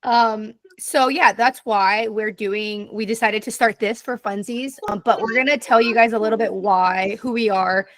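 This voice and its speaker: A woman's voice: fairly high pitch, steady tone, moderate pace, few pauses, a bit bright, dry recording. A woman in her twenties co-hosting a casual podcast, rambling, laughing often and talking over the others. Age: 20s. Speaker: podcast co-host. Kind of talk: casual chat.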